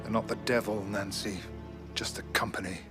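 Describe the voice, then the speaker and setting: A man acting an earnest, determined grieving father in a dramatic game cutscene, speaking with hushed intensity. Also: fairly low pitch, level tone, moderate pace, natural voice. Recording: loud music behind the voice. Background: cinematic game music.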